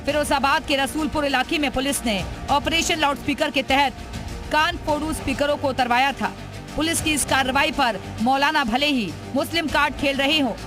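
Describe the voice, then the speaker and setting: A high-pitched woman narrates a scripted news report. Her delivery is dramatic and emphatic, set over clearly audible music.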